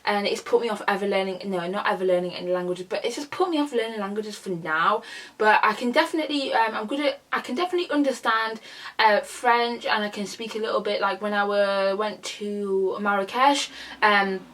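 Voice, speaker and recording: A woman's voice: mid-range voice, brisk, fairly bright tone. A young woman from a Congolese family, chatting casually through a Q&A vlog, rambling and restarting her sentences. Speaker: YouTuber.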